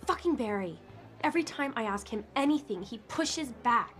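A cheeky young woman played by an actress with a high voice. She is a brash, sarcastic rookie in a video game, informal in her delivery. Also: animated, normal speed, lightly acted. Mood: irritated. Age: young adult.

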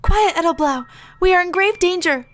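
A woman's voice: extremely high pitch, normal speed, background noise, voice pushed up. A woman voicing an anguished young male pigeon hero in a visual novel, with theatrical, urgent anime-style delivery. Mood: urgent, secretive.

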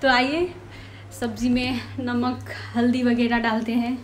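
Young woman, Bihari Hindi accent, high voice, slow unhurried talk, phone mic, kitchen sizzle and pans behind. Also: level tone. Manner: chatty, addresses viewers as friends. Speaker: vlogger. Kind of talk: casual cooking vlog narration. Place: home kitchen, indoors. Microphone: phone camera held close. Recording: clear sizzling and pan noise behind. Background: frying and stirring sounds.

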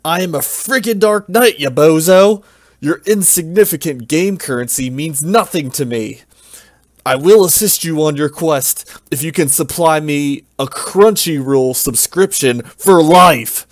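Man putting on a snarling, shouty dark knight. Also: fairly high pitch, a little slow, no echo, voice pushed up, lightly acted. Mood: furious. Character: brash hot-headed male dark knight.

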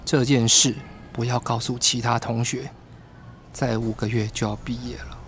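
A man's voice: medium pitch, flat delivery, moderate pace, a bit bright, a little hiss or hum, lightly acted. A man acting a soothing, protective male schoolteacher in a radio drama. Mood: earnest, caring. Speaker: voice actor in a fan radio drama. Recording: music behind the voice.

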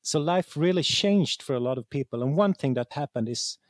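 A man's voice: fairly high pitch, lively intonation, no room sound, studio quality. A man with a Swedish accent, a native Swedish speaker, talking conversationally as an interview guest and often searching for words. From Sweden. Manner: halting, searching for words, repeats words. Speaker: interview guest, game writer.

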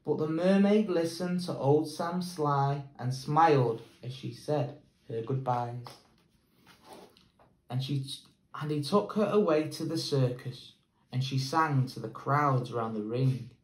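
A young man with an English accent, a teacher reading a picture book aloud in a slow, measured way, filmed indoors on a close camera. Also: mid-range voice, reverberant, low-quality recording. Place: plain indoor room.

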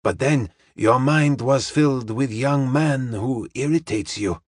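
Man acting a slow, deliberate detective. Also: medium pitch, breathy edge, no echo, studio quality. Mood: calm, assured. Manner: slow, deliberate, formally polite, drops articles. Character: courteous, serene Chinese detective, clipped deliberate speech.

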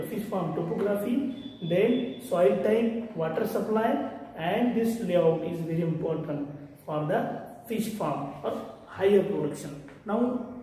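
A man's voice: high voice, mellow. A man in his forties with an Indian accent, lecturing a class and repeating his points, filmed from a distance in front of a whiteboard. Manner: repetitive explaining, gesturing with hands. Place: room with whiteboard. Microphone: far camera. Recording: echoey room from far off.

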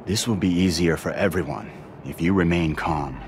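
A man's voice: sits low, moderate pace, slight background noise. An actor playing a cold, controlled, menacing male villain in a dramatic video game. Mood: cold menace.

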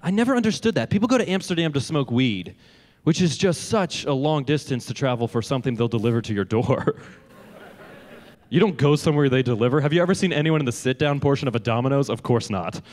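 A man's voice: mid-range voice, expressive, quick pace, darkish tone. A man performing a stand-up set on stage, delivering dry one-liners. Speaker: stand-up comedian.

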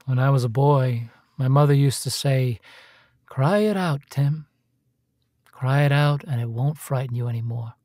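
Actor as a dogged detective, dry close sound. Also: male voice, medium pitch, a little slow, darkish tone, dry recording, close to an everyday voice. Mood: gentle, sad. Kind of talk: dramatic radio play acting.

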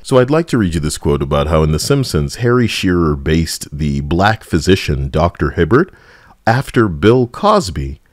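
Low-voiced, animated man, sarcastic commentary. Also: moderate pace, no room sound, clean studio sound. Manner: edgy, sarcastic, blunt, profane. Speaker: gaming video commentator. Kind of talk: casual opinion commentary over game footage.

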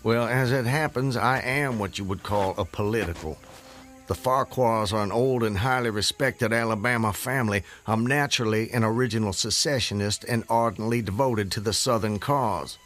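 Actor as a low-voiced, guarded gentleman planter. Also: soft background music, close to an everyday voice. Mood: proud. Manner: formal, measured, reserved way of talking. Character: proud, guarded Southern gentleman planter of Civil War era. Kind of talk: radio drama acting.

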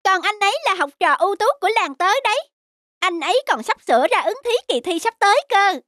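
A woman's voice: very high voice, animated, fast, fairly bright tone. A woman voicing a polite, clever little village boy in a cartoon. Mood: eager. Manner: polite, childlike. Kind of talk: cartoon voice acting.

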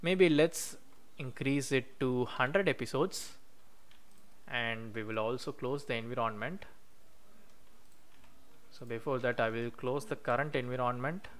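Male tutorial host with a smooth, clear mid-pitched voice, narrating code at a desk with typing behind. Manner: explains step by step while typing code, many "uh" pauses. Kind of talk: screen-recorded coding walkthrough. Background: keyboard typing.

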